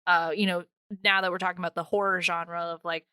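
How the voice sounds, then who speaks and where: A woman's voice: fairly low pitch, little pitch movement, fairly quick, pushed and bright, clear, resonant tone, no room sound, studio quality. A woman co-hosting a podcast in casual chat, telling things informally and interrupting herself as she goes. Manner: informal, self-interrupting storyteller. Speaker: podcast co-host. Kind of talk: casual chatty podcast talk.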